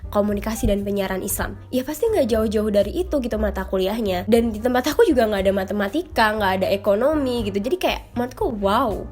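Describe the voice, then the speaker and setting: A woman's voice: mid-range voice, animated, fast talker, a little mellow, faint music behind. A young woman chatting casually to her viewers, her voice nasal from a cold, often dropping English phrases into her talk. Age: young adult. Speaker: vlogger. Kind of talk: casual chat to camera.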